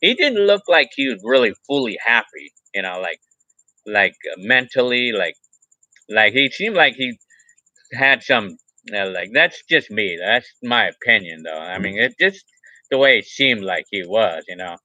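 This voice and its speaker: A man's voice: lowish voice, very animated, unhurried, sharp tone, no room sound, poor audio. A man in his forties on a casual livestream, reading viewer comments aloud and rambling in a repetitive way, restarting his phrases. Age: 40s. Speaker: livestream vlogger.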